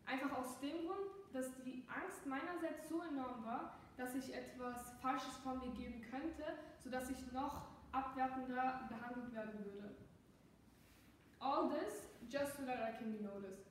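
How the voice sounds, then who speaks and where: A fifteen-year-old girl from Austria, giving an earnest, measured competition speech that switches between German and English. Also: sits high, a little hiss or hum. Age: teens. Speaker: student speech contestant. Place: large echoey classroom. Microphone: far camera. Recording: echoey classroom, mic far off.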